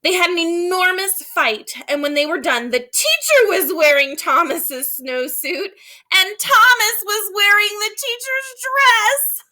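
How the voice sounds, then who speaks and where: A woman with a high, bright voice reads a picture book aloud like a schoolteacher. She is expressive and emphatic, stopping to explain things to children. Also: unhurried. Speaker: teacher reading aloud to children. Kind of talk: animated children's storybook read-aloud.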